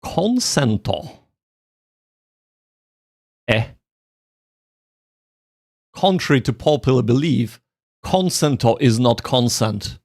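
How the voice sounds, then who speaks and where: A man's voice: mid-range voice, expressive, slow, long pauses, a little mellow, no room sound, clean studio sound. A man hosting a casual study livestream, thinking aloud in a joking, self-deprecating way. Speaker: livestream host, language learner.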